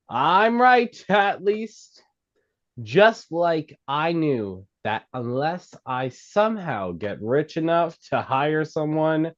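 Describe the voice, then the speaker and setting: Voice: medium pitch, lo-fi sound. An actor at a script table read playing a blunt, insistent comedy club worker, emphatic and stumbling over lines.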